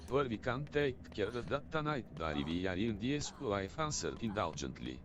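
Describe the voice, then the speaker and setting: A man's voice: on the low side, big pitch swings, normal speed, crowd noise. A text-to-speech male voice reading fan fiction aloud, spelling some words out letter by letter.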